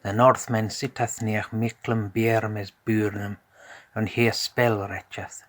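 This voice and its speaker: A man narrating a scripted tale as a storyteller in a measured, deliberate way. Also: fairly low pitch, flat delivery, a bit bright, dry recording.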